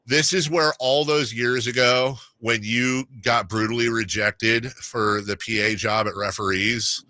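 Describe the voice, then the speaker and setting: A man with a bright, forward voice hosts casual sports talk. He rambles and jokes, and the sound is dry and close. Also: medium pitch, fairly even intonation, measured pace, dry recording. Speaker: radio talk show host.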